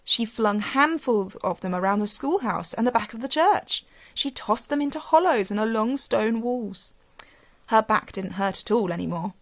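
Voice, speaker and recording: A woman teacher reading a storybook aloud to young children, expressively, with gentle questions and asides. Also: a little high, animated, normal speed.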